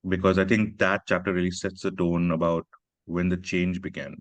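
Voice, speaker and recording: A man from Pakistan talks about his work as an author in an earnest, reflective way. His voice sits very low. The sound is dry and close, with almost no room echo. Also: little pitch movement, moderate pace. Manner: earnest, reflective, frequent "you know" and "right". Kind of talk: conversational literary talk. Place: literary festival stage.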